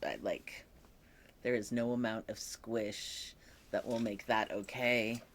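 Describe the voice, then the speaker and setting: Young man, unhurried and reflective, voice that sometimes croaks, casual vlog talk. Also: fairly low pitch, fairly even intonation, fairly bright tone, a little hiss or hum. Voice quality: occasionally croaky voice. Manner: slow, thoughtful, with many pauses and fillers. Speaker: vlogger. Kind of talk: casual personal update.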